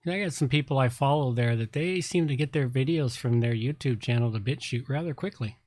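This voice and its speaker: A laid-back man co-hosting a casual podcast, at times muttering to himself. His voice sits in a middle pitch range. The sound is dry and close, with no room echo. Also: a little fast, darkish tone. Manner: laid-back, mumbles to himself while setting up. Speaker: podcast co-host. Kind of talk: casual chat.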